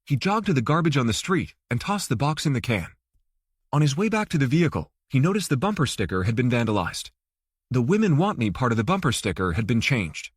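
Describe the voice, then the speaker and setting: A man's voice: medium pitch, very animated, a little fast, breathy edge, dry recording, studio quality. A man reading scripted fiction as an audiobook narrator.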